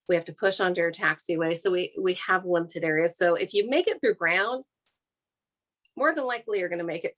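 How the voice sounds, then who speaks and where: A woman's voice: lowish voice, fairly bright tone. A woman with an American accent giving an informal, explanatory career talk as an air traffic controller, in a practical, plain-spoken, conversational way.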